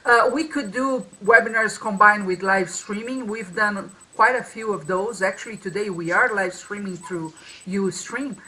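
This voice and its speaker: A woman's voice: medium pitch, normal speed, sharp tone, low-quality recording. A woman co-hosting a marketing talk over a video call, with a strong voice and a loose, restarting way of speaking. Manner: many restarts and fillers. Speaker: co-host. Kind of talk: casual webinar talk.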